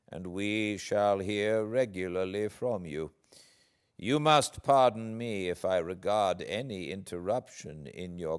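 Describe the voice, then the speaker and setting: A British man, an audiobook narrator, reading classic literature aloud in a measured, expressive, dramatic way. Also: sits low. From Britain.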